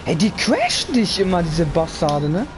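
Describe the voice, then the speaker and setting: A man's voice: sits high, very animated, normal speed. A young man hosting a game stream, chatting casually with friends in slangy, sweary language. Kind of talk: casual gaming chat with friends. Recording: game music behind the voice.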